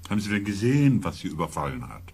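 An actor playing an intense, insistent member of a science expedition in a sci-fi radio drama. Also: male voice, sits low, very animated, rapid-fire, darkish tone, slightly rough, exaggerated voice.

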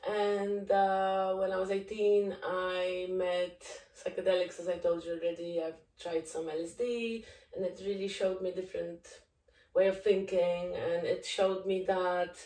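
A woman's voice: lowish voice, a little slow. A woman in her thirties from Poland, a native Polish speaker with a Polish accent, telling her life story openly as a documentary maker being interviewed. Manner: open, candid, animated in places. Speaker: guest, documentary filmmaker. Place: living room, indoors. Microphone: far camera. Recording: echoey room, far from mic.

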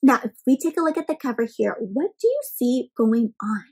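A young woman hosting an interactive read-aloud for small children, expressive and full of questions addressed straight to her listeners. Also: on the high side, fairly quick, over music. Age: young adult.

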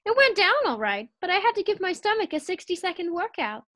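A woman plays a wisecracking radio sitcom housewife with a very high voice and lively swings in pitch. She coaxes and teases with brisk affection. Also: exaggerated voice. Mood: wry, reassuring. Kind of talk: 1940s radio sitcom acting.

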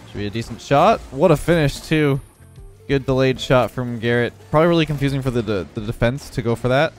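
Young male gaming creator, congested, casual play-by-play over soft game music, mid-pitched. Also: a little fast. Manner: relaxed running commentary on his own play. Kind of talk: live gameplay commentary.

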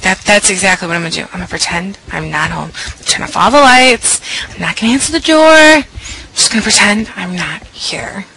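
A woman's voice: mid-range voice, fast, gravelly, bright, forceful tone, slight background noise, poor audio. A young woman playing a nervous teen girl left home alone, talking anxiously to herself and often whispering. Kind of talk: amateur horror skit acting.

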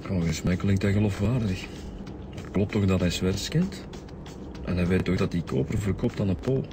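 Man playing a low-voiced cop, level-headed, asking questions, radio play with music. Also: moderate pace, close to an everyday voice. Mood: probing. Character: probing, level-headed male police inspector. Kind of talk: radio crime drama acting.

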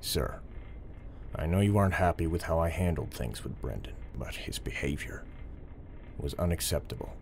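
Low, controlled police officer played by a man, firm and respectful, audio drama, light noise behind. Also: expressive, normal speed, creaky, a little mellow, full character voice. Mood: earnest, controlled.

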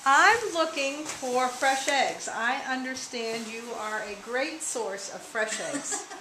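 A middle-aged woman visiting a small farm store, chatting as a curious customer and exclaiming at what she learns. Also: sits high. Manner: enthusiastic, quick to exclaim. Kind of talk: casual chat. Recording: echoey room, background noise.

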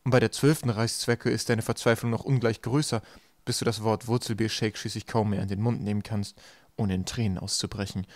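A man's voice: fairly low pitch, steady tone, a little fast, darkish tone, no room sound. A man reading scripted storybook narration as an audiobook narrator.

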